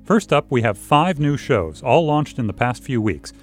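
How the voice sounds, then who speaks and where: A man hosting a podcast network trailer, reading scripted promo narration in an upbeat, even announcer manner. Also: mid-range voice, normal speed, soft background music. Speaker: network host.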